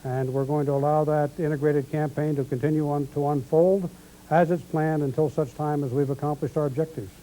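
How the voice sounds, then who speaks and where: A man's voice: a little high, fairly even intonation, a little fast, dark tone, low-quality recording. A man giving an official military briefing.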